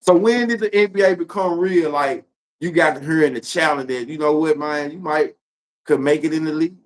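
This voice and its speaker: A man's voice: on the high side, brisk. A man with a Memphis Southern accent hosting a casual interview chat, responsive, with frequent short affirmations. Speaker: live-stream host, interviewer.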